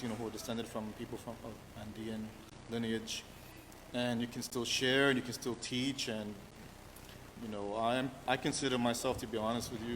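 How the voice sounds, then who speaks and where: A middle-aged man from New York City answering interview questions in an earnest, halting way, full of restarts and "you know"s. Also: medium pitch. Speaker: musician interviewed as guest. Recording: long reverb, faint background hiss.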